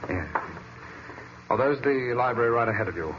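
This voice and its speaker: A man playing a nervous, defensive gentleman suspect in an old-time radio mystery, stammering and over-polite. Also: mid-range voice, animated, moderate pace, lightly acted. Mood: nervously obliging. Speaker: actor in a radio detective drama. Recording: muffled, noisy vintage radio recording.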